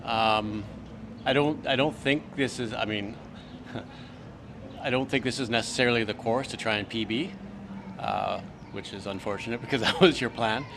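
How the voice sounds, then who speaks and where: Man, marathon runner guest, dry wit, wry, mid pitch, clear outdoor noise, traffic. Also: moderate pace, pauses often. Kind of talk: casual interview chat. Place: outdoors on campus steps.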